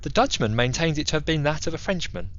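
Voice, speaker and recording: A man's voice: a little high, animated, quick pace, fairly bright tone, lo-fi sound. A man playing a self-assured, analytical detective in an audio drama, speaking formally and methodically with theatrical emphasis. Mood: assured, explanatory. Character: C. Auguste Dupin, analytical, self-assured male detective in Paris. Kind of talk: theatrical audiobook character monologue.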